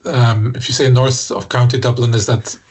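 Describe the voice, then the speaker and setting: A man hosting a radio interview, asking hesitant questions full of pauses and fillers. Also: mid-range voice, level tone, breathy edge. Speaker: radio show host.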